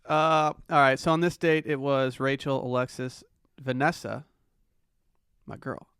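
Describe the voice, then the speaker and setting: A man's voice: medium pitch, steady tone, unhurried, fairly bright tone, clear, resonant tone, dry recording. Man chatting on a dry-sounding podcast. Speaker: podcast host. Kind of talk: casual pop-culture podcast chat.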